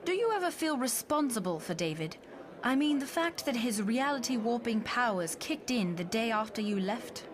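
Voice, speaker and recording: A woman acts out a candid confidante in a motion comic. Her voice is somewhat high, and she questions directly. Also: expressive, moderate pace, a crowd in the background, natural voice. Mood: probing. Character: candid, probing female confidante.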